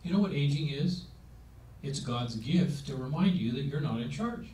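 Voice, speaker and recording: A man's voice: medium pitch, expressive, moderate pace, mellow. A seventy-year-old man giving an emphatic, conversational sermon-style talk on aging into a handheld mic in a meeting room, asking his listeners rhetorical questions. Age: 70s. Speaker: speaker on aging. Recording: roomy sound from a distance with faint noise.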